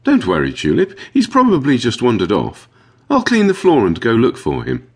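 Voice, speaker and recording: A man's voice: mid-range voice, huge pitch swings, normal speed, slightly rough, pitched above the performer's own voice. A man voicing a friendly, caring young woman who works as a kennel caretaker, as an audiobook character. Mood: reassuring. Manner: friendly, concerned.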